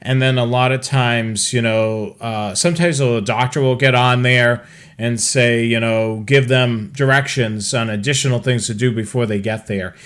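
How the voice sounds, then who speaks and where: A man hosting a casual talk, explaining things in a halting, conversational way. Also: medium pitch, steady tone, fairly bright tone, clear, resonant tone. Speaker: host.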